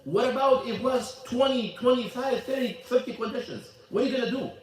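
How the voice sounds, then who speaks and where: High-voiced imam in an echoey hall. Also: male voice, a little fast. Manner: emphatic, repeats phrases, quizzes the audience. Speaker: imam teaching a class. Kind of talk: religious lesson, switching between Arabic and English. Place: mosque hall. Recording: echoey hall, mic at a distance.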